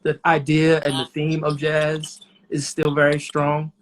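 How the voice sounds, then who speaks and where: A man in his thirties, a jazz trumpeter, talking as a guest in a casual interview in a relaxed, reflective way with many pauses. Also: a little high, poor audio. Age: 30s.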